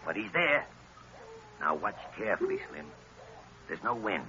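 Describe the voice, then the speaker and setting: A man's voice: lowish voice, sing-song, a little slow. A man playing a cocky, bullying gangster boss who sneers and snaps out orders in vintage radio drama style. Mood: tense, commanding. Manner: snarling, sneering commands. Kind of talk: 1940s radio acting. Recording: dull, noisy old radio broadcast recording.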